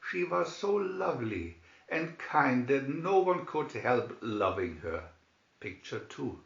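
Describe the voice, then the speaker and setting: A man reading a fairy tale aloud as a scripted narration, in a deliberate manner. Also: mid-range voice, big pitch swings, vocal fry. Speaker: storybook reader. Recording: dull, roomy amateur recording.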